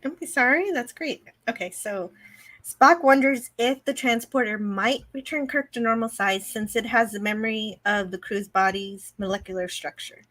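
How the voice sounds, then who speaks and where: A woman's voice: on the high side, moderate pace, fairly bright tone. A woman co-hosting a review show, talking through an episode casually in an analytical, explanatory way. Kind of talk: casual episode recap and discussion.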